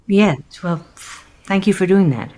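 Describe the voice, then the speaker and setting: An actress playing a flustered, apologetic middle-aged single mother in a naturalistic comedic audio play, halting and polite. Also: mid-range voice, mellow, lightly acted. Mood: awkward, earnest.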